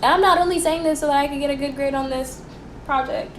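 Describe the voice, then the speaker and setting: Young woman, American accent, high voice, chatty student presenter, playful and theatrical, slangy, roomy echo. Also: steady tone, fast talker. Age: young adult. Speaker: student host of a history show. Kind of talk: chatty, informal history presentation for a school project. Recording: echoey room with faint background noise.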